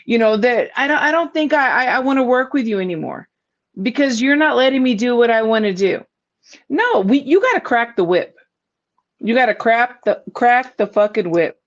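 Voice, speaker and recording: A woman's voice: fairly high pitch, lively intonation, normal speed. A woman hosting a casual boxing talk show, blunt and emphatic, who swears freely and often laughs. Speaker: boxing podcast host. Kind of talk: casual panel chat. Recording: dull lo-fi sound.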